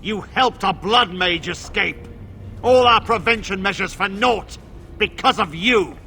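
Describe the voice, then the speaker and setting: A man's voice: high-pitched, measured pace, pushed and bright, a little hiss or hum, exaggerated voice. A man playing a wrathful templar knight commander in a fantasy video game, harsh, formal and commanding. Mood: angry.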